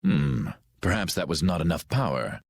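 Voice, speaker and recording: A man voicing a cold, grandiose villain commander in a video game, speaking in a pompous, measured, theatrical way. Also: extremely low pitch, very animated, creaky, heavily acted. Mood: cold, imperious.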